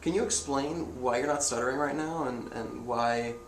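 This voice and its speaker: A young man asking questions in a loose, halting way full of fillers. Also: medium pitch. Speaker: interviewer. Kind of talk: casual conversation. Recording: echoey room, faint noise, voice away from the mic.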